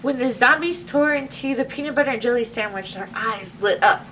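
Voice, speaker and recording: A woman's voice: fairly high pitch, brisk, fairly bright tone. A woman librarian hosting a children's story time, reading a picture book expressively with little asides to young listeners. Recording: distant mic with faint background noise.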